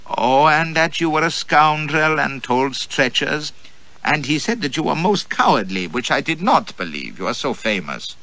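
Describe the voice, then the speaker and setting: A man's voice: on the high side, big pitch swings, normal speed, bright, forceful tone, pitched above the performer's own voice, exaggerated voice, poor audio. A man putting on a teasing sing-song teenage girl. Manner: sing-song, playful, mock demure. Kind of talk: audiobook character voice.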